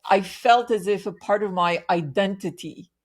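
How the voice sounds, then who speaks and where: A woman hosting a podcast, asking long, curious questions in a warm, conversational way. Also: mid-range voice, normal speed. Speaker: podcast host. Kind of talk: conversational interview.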